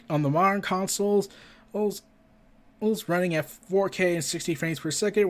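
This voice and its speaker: A man's voice: sits high, darkish tone. A man hosting a casual entertainment and gaming news commentary, stumbling as he reads and adding chatty asides. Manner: stumbling when reading aloud, with chatty asides. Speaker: podcast host.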